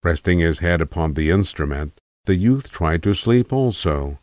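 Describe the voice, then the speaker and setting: A man's voice: deep voice, lively intonation, normal speed, a little mellow, no room sound. A man reading scripted literary narration as a storyteller.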